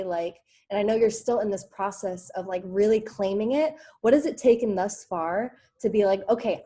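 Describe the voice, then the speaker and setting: Woman coach hosting a group video call, enthusiastic and effusive, mid-pitched. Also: mellow.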